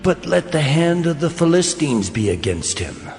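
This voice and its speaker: A man playing a jealous, scheming ancient king in a dramatized Bible story, with slow, deliberate, dramatic delivery. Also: fairly high pitch, sing-song, darkish tone, breathy edge, no echo, studio quality, soft background music, full character voice. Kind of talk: dramatized scripture acting.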